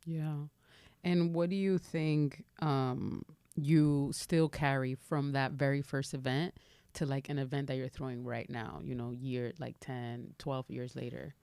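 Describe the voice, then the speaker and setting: Smooth-voiced woman hosting a chat. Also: rumbling low, fairly even intonation, unhurried, dark tone, no echo. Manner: friendly, asks loose questions. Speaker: podcast host. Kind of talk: casual interview.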